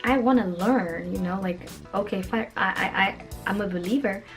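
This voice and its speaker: A woman in her late twenties from Kenya talks to her viewers in a casual vlog. Her voice sits at a middle pitch, and her delivery is playful, animated and emphatic. Music plays behind her. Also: a little fast. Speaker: vlogger.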